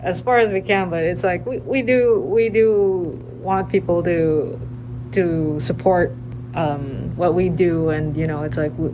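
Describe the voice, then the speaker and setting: Woman kinda low voice, hesitant, being interviewed with noticeable background noise. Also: measured pace, dull audio. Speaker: garden staff interviewee. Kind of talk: conversational interview. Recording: clear ambient background noise.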